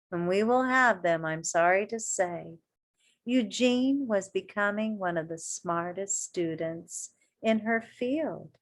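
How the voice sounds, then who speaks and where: A woman with a fairly high voice reads a picture book aloud at a very unhurried pace. She is expressive and deliberate, like a primary school teacher. She often steps out of the text to speak encouragingly and directly to her young listeners. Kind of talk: children's story read-aloud with asides to listeners.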